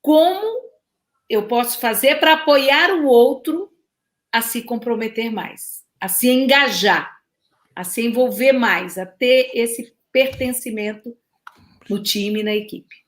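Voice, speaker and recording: A woman's voice: a little high, takes it slowly. A woman coach with a Brazilian Portuguese accent, posing reflective coaching questions on a live webinar. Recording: roomy echo.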